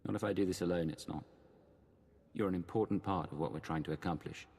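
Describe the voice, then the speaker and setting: Game hero: authoritative, determined, deep rumbling pitch, creaky texture. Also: male voice, fast, a little mellow, heavily acted. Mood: resolute. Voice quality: deep, gravelly. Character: resolute, commanding male hero leader.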